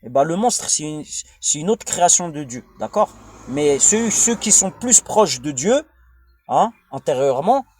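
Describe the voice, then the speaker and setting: A man's voice: fairly high pitch, very animated, measured pace, a little mellow, a little hiss or hum. A man from France with a French accent, delivering a rambling informal monologue in a blunt, crude, slangy way, often digressing and repeating himself. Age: adult, father of a young child. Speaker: vlogger.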